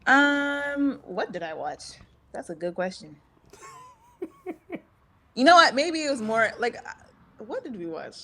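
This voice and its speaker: A woman's voice: on the high side, sing-song, takes it slowly, pauses often, a bit bright. A young woman from Montreal with a slight American accent, a guest talking earnestly and encouragingly, laughing easily. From Montreal, Canada. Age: young adult. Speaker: guest, singer and content creator. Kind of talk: casual interview chat.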